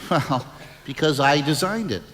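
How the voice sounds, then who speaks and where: A fussy brainy know-it-all man, formal wordy talk, bouncy highish voice, radio drama reverb. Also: animated, measured pace, fairly bright tone. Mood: proud, excited. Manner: precise, formal, wordy diction. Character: fussy, self-assured genius inventor, a man. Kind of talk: audio drama acting.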